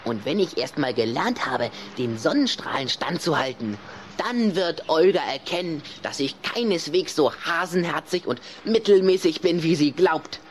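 A woman's voice: sits low, lively intonation, normal speed, slight background noise. A woman playing a proud, touchy, lovesick young boy vampire in a theatrical way for a children's radio play. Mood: earnest.

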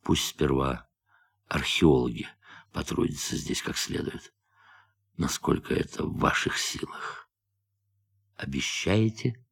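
An actor playing a calm, defiant astronaut in a radio play, speaking in a measured, quietly intense way. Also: male voice, a bass voice, creaky, slightly rough, exaggerated voice. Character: calm defiant astronaut who turned on his crew.

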